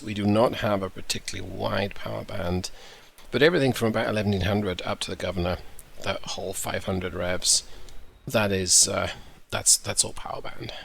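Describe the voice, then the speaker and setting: Croaky low-voiced man narrating gameplay. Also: fairly bright tone. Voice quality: croaky, gravelly. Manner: chatty, thinks aloud, self-correcting. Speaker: gaming channel host. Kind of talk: casual gameplay commentary.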